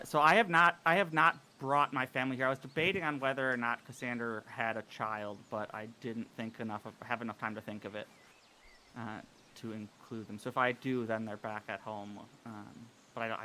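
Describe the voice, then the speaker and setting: Bright-voiced man, halting player. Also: mid-range voice. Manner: halting, many fillers and restarts. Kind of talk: describing his character in a tabletop game.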